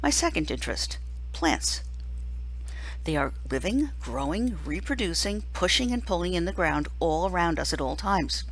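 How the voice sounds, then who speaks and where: Lively woman reading a novel aloud. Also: fairly low pitch, normal speed, rough recording. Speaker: teacher reading a book aloud. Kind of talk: expressive audiobook-style read-aloud of a first-person children's novel.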